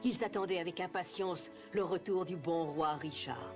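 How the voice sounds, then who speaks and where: A narrator telling a scripted children's story in an animated film. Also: medium pitch, normal speed. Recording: clear music bed under narration. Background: music.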